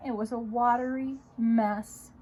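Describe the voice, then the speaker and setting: A woman with a husky voice reading a children's story aloud in an expressive, dramatic way. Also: on the high side, unhurried, slight background noise. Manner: dramatic, with emphatic repetition and hushed moments. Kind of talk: expressive read-aloud narration.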